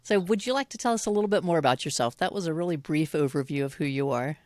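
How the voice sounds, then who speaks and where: A woman's voice: low-pitched, quick pace, a little mellow, no room sound, clean studio sound. A woman hosting a podcast, introducing her guest in a friendly, plain way. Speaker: podcast host. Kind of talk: scripted podcast intro and interview.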